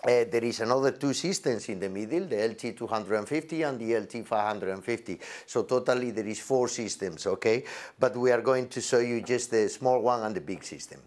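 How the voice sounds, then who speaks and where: A man in his forties with halting, non-native English gives an unscripted product demonstration as a presenter, recorded indoors on a lapel mic. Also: mid-range voice, darkish tone. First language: not English. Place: indoor demo set with backdrop.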